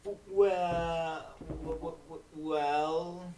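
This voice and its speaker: A man's voice: fairly high pitch, steady tone, very slow, mellow. Man as a prim mare, distant and echoey. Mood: nervous stammering. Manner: prim, indignant. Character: prim, indignant, haughty female pony cellist. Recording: echoey, distant mic, faint noise.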